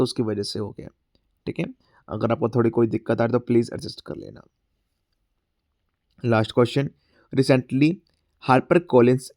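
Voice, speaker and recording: A male current affairs teacher with a sore throat, going through exam quiz questions. He mixes Hindi and English, often says "theek hai", and reads the English question text slowly. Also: mid-range voice, darkish tone, dry recording, studio quality. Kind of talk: exam-prep class, explaining quiz questions.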